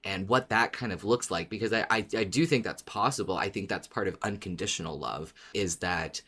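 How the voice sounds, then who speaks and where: Low-pitched man co-hosting a podcast. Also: fairly quick, sharp tone. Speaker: podcast co-host. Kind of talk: casual podcast discussion.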